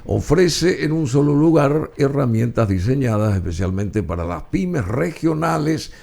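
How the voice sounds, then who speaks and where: A man's voice: mid-range voice, animated, a little slow, gravelly, darkish tone. A man hosting an interview, asking casual questions and giving short affirmations. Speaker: interview host.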